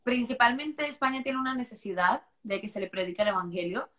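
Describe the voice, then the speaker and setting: A woman's voice: fairly high pitch, expressive, a little fast, fairly bright tone. A woman from Costa Rica with a mixed Costa Rican and Spanish accent, chatting casually and animatedly about her missionary work. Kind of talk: casual conversation.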